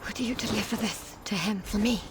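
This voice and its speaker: A woman playing a weary, defeated temple warrior in a video game cutscene, speaking in a solemn, halting, formal way. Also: medium pitch, close to an everyday voice. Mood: weary, pleading. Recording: background music under the voice.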